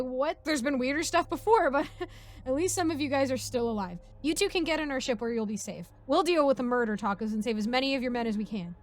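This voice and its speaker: A woman voicing a polite, apologetic space creature with a casual, chatty, concerned manner in a comic story. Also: high voice.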